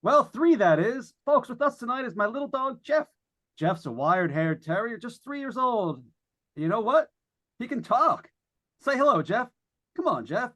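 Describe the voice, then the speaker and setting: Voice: mid-range voice, sing-song, normal speed, fairly bright tone. An actor playing a chatty, wisecracking radio announcer on a ghost hunt, in 1940s radio drama style. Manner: breezy, folksy, jokey.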